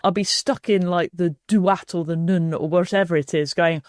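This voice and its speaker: A woman's voice: on the low side, steady tone, moderate pace, no echo, clean studio sound. A woman hosting a podcast, asking questions in a playful, jokey way. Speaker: podcast host. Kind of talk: casual podcast chat.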